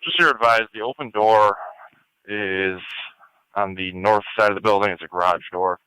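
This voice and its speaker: A man's voice: on the low side, moderate pace, pushed and bright, smooth, dry recording, low-quality recording. A male emergency responder giving brief reports from the field over a two-way radio. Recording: police and fire scanner radio.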